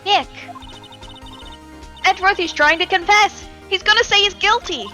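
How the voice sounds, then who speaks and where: An excitable young woman sidekick, acted out by a woman, frantic and exclaiming. Her voice is piercingly high and bright, with faint music behind it in a live game dub. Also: normal speed. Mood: frantic alarm.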